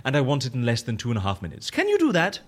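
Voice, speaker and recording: A man's voice: medium pitch, huge pitch swings, racing, fairly bright tone, breathy edge, full character voice. A man playing an eccentric, fussy, cocoa-loving boss in an audio comedy, theatrical, exclamatory and wordy. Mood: demanding, delighted. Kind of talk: audio comedy drama acting.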